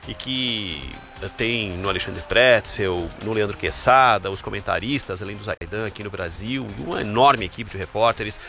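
A man from Brazil hosting a sports broadcast, lively and announcer-like. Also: lowish voice, moderate pace, hardly any pauses, soft background music. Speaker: sports show host. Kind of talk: radio sports anchoring.

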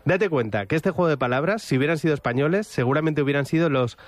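A man's voice: fairly high pitch, fast, no echo. A man with a Spain Spanish accent telling stories and bantering in a rambling, self-deprecating, jokey way as a show contributor. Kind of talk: humorous storytelling and banter.

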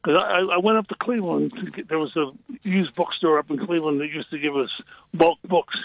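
An older man speaks in a husky, fairly high voice, loud and halting as he reminisces. He repeats his phrases as he goes. The sound is thin and echoey, like a phone call to a radio show. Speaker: guest caller, retired prison librarian. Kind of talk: casual reminiscing in a radio interview. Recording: tinny, echoey phone line.

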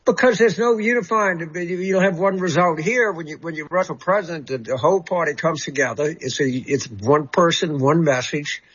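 A male political commentator answers callers with a husky voice. He halts often and repeats words as he searches for what to say. The sound is a little dull and muffled. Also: a little high, moderate pace, few pauses, a bit bright. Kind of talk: call-in talk show guest.

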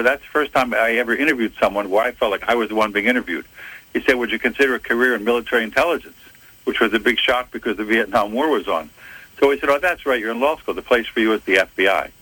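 An older man from Philadelphia, a retired FBI agent, answering interview questions in a measured way, often correcting himself. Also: fairly low pitch, bright, forceful tone, slightly rough, no echo, low-quality recording. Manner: measured, self-correcting storyteller. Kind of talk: interview answers, recounting career.

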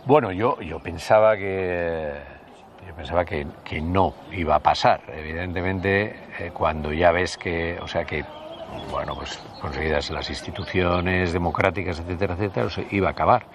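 A man in his sixties with a northern Spanish accent, reminiscing conversationally in an interview, haltingly and with repeated words. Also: low voice, noisy background. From Basque Country, Spain. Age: 60s.

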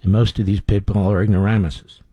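A man's voice: fairly low pitch, level tone, a little fast, husky, no room sound, muffled recording. A man hosting a talk radio show, giving blunt, scornful commentary with frequent fillers.

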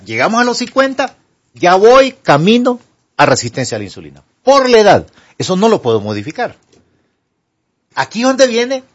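A man's voice: mid-range voice, breathy edge. A Honduran man speaking as a doctor, giving conversational advice emphatically and didactically, asking and answering his own questions. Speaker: doctor, studio guest answering health questions. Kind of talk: conversational medical advice for a broadcast audience. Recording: dull, lo-fi broadcast audio.

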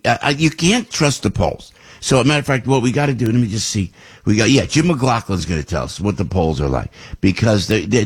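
Older guy on talk radio, real low husky voice, rambly and chatty. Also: a little mellow. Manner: rambling, chatty, with frequent restarts and mock voices. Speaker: radio talk show host. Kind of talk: casual political talk radio monologue.

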